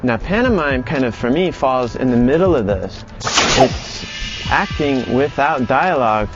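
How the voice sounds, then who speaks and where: A male instructor explains his lesson conversationally in a medium-pitched voice. The recording is a little muffled, and music plays clearly behind him. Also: lively intonation, measured pace. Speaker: animation acting instructor.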